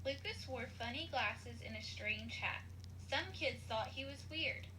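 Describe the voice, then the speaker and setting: A woman reading a children's story aloud as scripted narration, in an expressive storytelling manner. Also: fairly high pitch, unhurried, noisy background. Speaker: storybook reader.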